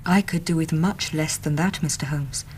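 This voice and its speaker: An actress plays a young Victorian working woman in an English radio drama. Her voice sits fairly low, and she talks in an earnest, chatty, plain-spoken way. Faint noise lies behind her. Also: normal speed, darkish tone, natural voice, rough recording. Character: earnest, talkative young female Victorian typist seeking help.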